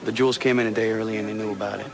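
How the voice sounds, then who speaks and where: A probing detective in a radio police procedural. He talks quickly and directly in a lowish voice. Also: flat delivery, vocal fry, noisy background. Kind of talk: police procedural audio drama acting.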